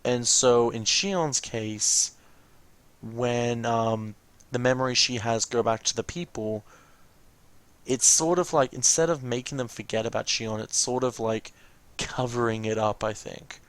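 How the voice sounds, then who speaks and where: A man's voice: mid-range voice, measured pace, clear, resonant tone, no echo. A man giving casual reaction commentary over game cutscenes, explaining and musing as he goes. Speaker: let's watch host. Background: game music behind the voice.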